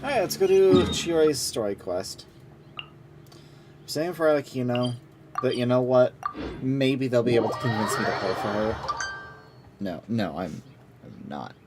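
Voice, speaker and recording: A man's voice: mid-range voice, expressive, measured pace, long pauses, heavy background noise. A man streaming a game, chatting casually and thinking aloud. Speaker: game livestreamer. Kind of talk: casual chat while gaming. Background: music.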